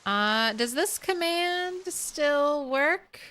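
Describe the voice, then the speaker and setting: Woman streamer, slightly high voice, soft relaxed gaming chat, very slow pace. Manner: soft, relaxed, thanks viewers. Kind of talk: casual live-stream chat while gaming.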